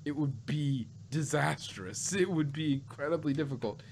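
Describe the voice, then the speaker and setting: A man's voice: on the high side, moderate pace, a little mellow, a little hiss or hum. A man podcast host in casual, joking banter, rambling through stories as he goes. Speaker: podcast host reviewing audio drama.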